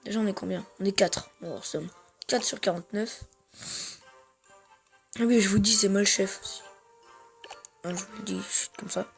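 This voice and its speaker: A man's voice: high-pitched, steady tone, normal speed, gravelly, pauses often, fairly bright tone, soft background music. A man with a head cold giving rambling, hesitant gameplay commentary to his viewers. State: has a head cold, congested. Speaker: gamer.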